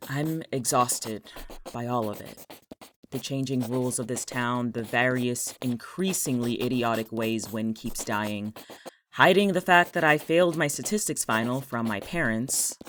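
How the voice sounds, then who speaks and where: An actor playing a weary, dry, bossy teenage girl in an audio drama, speaking in a curt deadpan. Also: mid-range voice, a little slow, slight background noise, lightly acted. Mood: weary, anxious. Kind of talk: naturalistic audio drama acting with monologue.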